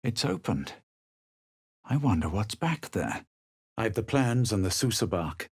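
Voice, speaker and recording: A man voicing a haughty, cool young adventurer hero in a video game, theatrical and measured, with a husky voice. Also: on the low side, big pitch swings, no room sound, studio quality, exaggerated voice. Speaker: video game player character. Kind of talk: scripted game voice lines, dramatic narration and barks.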